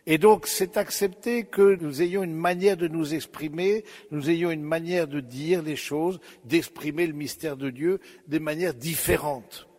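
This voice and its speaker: Guy giving a sermon in a church, talks real slow, lots of pauses and euh, big echoey hall sound. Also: on the high side, a little mellow. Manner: slow, reflective, addressing listeners directly with pauses and fillers. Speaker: preacher.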